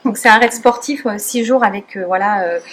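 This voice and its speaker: A woman's voice: mid-range voice, a little fast, slight background noise. A woman presenting her team's charity race project, a little unprepared and dotting her talk with "voilà". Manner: a bit unprepared, says "voilà" often.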